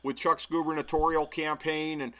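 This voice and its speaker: A man hosts a casual podcast. His voice is a touch high and bright. He takes each point on a list in turn and talks it through in a measured way, with frequent "uh"s. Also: level tone. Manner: measured, filler-heavy analysis. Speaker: podcast host.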